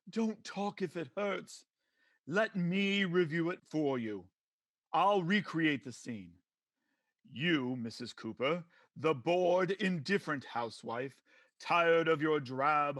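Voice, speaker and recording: An actor playing a pompous, preachy judge in an old-time radio comedy, with theatrical delivery. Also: male voice, a little high, lively intonation, takes it slowly, a bit bright, no room sound, studio quality, heavily acted. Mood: mock-sympathetic, dramatic. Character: pompous male judge presiding over comic domestic court.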